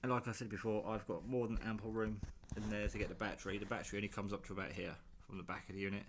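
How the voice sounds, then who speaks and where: British bloke with lowish voice rambling through a diy demo in his kitchen, informal, bit sweary. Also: flat delivery, racing, slight background noise. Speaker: hobbyist vlogger presenting own radio build. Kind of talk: casual unscripted demonstration talk. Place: home kitchen.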